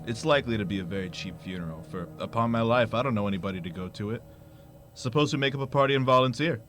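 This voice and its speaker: A callous, flippant gentleman gossip, played by a young man in a radio play. The voice is sharp and bright, with slight background noise. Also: lowish voice, fast, close to an everyday voice. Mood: flippant, amused. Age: young adult. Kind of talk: radio drama acting.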